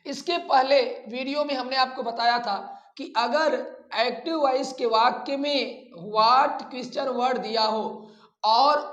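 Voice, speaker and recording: A male teacher speaks in an echoey room at a very unhurried pace. He walks through grammar formulas and examples step by step, repeating pieces deliberately as he builds each one up. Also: very high-pitched, a bit bright, highs cut off. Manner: deliberate, repetitive, step-by-step explaining.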